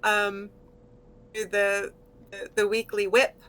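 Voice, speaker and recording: An American woman whose accent has shifted toward British after decades in the UK, chatting relaxed as a guest artist over a voice call. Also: medium pitch, takes it slowly, faint music behind, poor audio. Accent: American softened by decades in Britain. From United States. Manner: relaxed, laughs easily, trails off. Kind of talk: casual interview chat.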